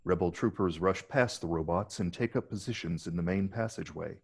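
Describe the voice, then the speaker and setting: A man's voice: low voice, a little fast, creaky, a little mellow, breathy edge. A man narrating stage directions from a film script in a dramatic table read.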